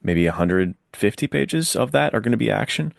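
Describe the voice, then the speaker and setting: A man's voice: on the low side, lively intonation, moderate pace, gravelly, dry recording, clean studio sound. A man chatting casually on a podcast about books in slangy buddy talk. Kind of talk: casual podcast book chat.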